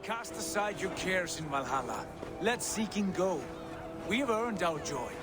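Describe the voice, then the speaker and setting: An actor playing a jovial, boastful Viking warrior brother with theatrical, declamatory delivery in a video game. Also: male voice, sits high, animated, a little slow. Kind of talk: dramatic video game acting. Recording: loud background music.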